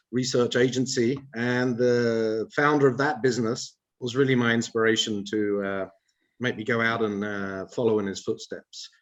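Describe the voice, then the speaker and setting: A man with a mid-range voice answers as a panel guest. He speaks in a measured way and pauses often with fillers. The sound comes over a video call. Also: medium pitch.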